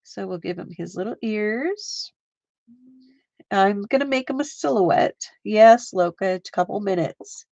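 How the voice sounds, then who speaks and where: A woman's voice: medium pitch, expressive, measured pace, creaky, a little mellow, no room sound, highs cut off. A woman hosting a casual live art stream, chatting and laughing with viewers as she works, with a slight Canadian accent. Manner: chatty, giggly, talks to viewers while crafting.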